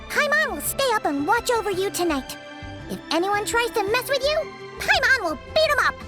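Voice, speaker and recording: A woman voicing an excitable, chatty little fairy companion in a game who refers to herself in the third person. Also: very high voice. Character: tiny floating female fairy companion, chirpy. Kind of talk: game dialogue. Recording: game audio with music behind.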